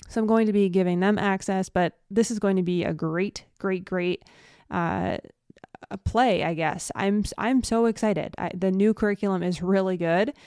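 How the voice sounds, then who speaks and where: A woman's voice: mid-range voice, darkish tone, smooth, no echo, studio quality. A young adult woman hosting a solo podcast, chatting casually and rambling with fillers and restarts, sounding a bit stuffy and nasal. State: congested. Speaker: podcast host.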